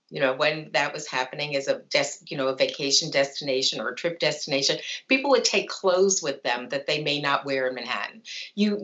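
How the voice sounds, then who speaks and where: Woman in her 50s musing on a video call, lots of you knows, lo-fi sound. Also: low-pitched. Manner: reflective, animated hand gestures, many you-knows. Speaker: psychologist guest. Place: home room with plant and bookshelf. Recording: dull lo-fi video call audio.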